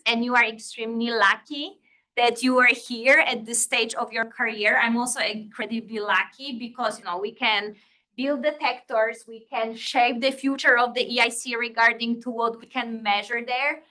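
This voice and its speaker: A woman with a Polish accent gives a physics lecture to students, speaking eagerly and often stopping to restart her sentences. Her voice sits a little high and rings very bright. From Poland. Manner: enthusiastic, many fillers and restarts. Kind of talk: academic lecture. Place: lecture hall.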